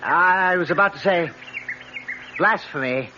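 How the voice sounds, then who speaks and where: Earnest, uneasy man, higher-pitched. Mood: anxious. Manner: solemn, earnest, halting. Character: earnest, uneasy, superstitious man, in awe of mystery. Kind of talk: dramatic radio play acting. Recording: muffled, noisy radio drama recording.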